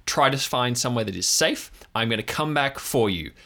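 A man voicing a flustered, earnest park ranger with a halting, nervous, reassuring way of talking. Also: mid-range voice, animated, sharp tone.

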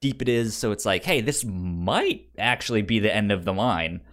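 A man co-hosting a podcast, casually discussing film in a halting way, often repeating syllables and words as he starts. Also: lowish voice, fairly bright tone. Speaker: podcast co-host.

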